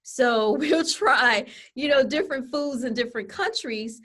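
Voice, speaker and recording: A woman's voice: high voice, unhurried, fairly bright tone. Woman co-host, chatty webinar presenter, laptop webcam call audio. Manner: chatty, full of fillers like "you know" and "okay?". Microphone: laptop webcam on a video call.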